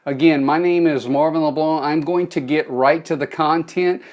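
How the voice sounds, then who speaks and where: A man's voice: a little high, fairly even intonation, normal speed, a little mellow. A middle-aged man with a Cajun accent, hosting a live phone stream, giving a folksy motivational talk and greeting viewers by name. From South Louisiana.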